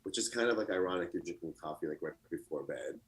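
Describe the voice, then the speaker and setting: Male contestant, 30s, from Lebanon. Lowish, relaxed, rambling. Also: fast. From Lebanon, raised in the US. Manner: relaxed, rambling with many fillers. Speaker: artist contestant. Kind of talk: casual chat.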